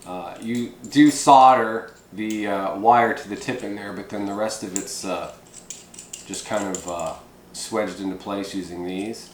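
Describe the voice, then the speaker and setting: A man giving an informal, unscripted hands-on tutorial, explaining things in a rambling, hesitant way with frequent pauses. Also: on the low side. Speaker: presenter of a CB radio how-to video. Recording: echoey room with background noise.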